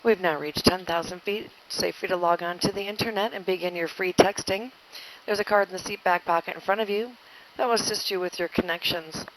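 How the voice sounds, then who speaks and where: Woman flight attendant doing inflight announcement, lower voice, cabin pa with some background noise. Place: inside an airliner cabin in flight. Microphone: cabin PA handset. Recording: noisy airplane cabin PA.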